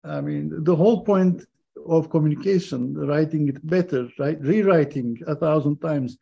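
A man's voice: a little high, moderate pace, vocal fry, breathy edge. A man interviewing a guest, asking rambling questions that he piles on top of each other. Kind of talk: conversational interview. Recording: dull, muffled audio.

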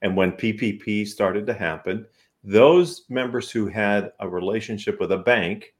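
A man with a fairly low voice hosts a business talk show. He speaks in an upbeat, conversational way, interviewing his guest. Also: unhurried.

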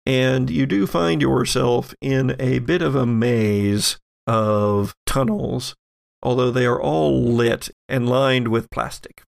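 Slow, gravelly man narrating. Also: medium pitch. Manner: halting, deliberate. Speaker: game master. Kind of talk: improvised tabletop game narration.

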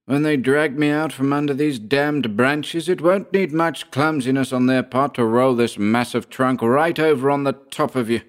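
A man's voice: medium pitch, moderate pace, hardly any pauses, pitched above the performer's own voice, close to an everyday voice. A man voicing a savage, mocking poacher, snarling and jeering at his enemy in a storybook tale. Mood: quiet menace.

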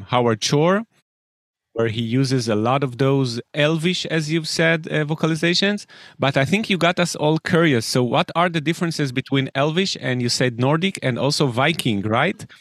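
A man's voice: on the high side, no room sound, studio quality. A man hosting a podcast interview, asking long, earnest questions with fillers. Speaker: podcast host.

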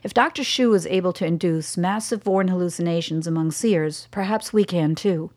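A woman's voice: on the low side, normal speed, darkish tone, natural voice. A woman playing a composed, defiant scientist. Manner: cool, precise, unflinching. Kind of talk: radio drama acting.

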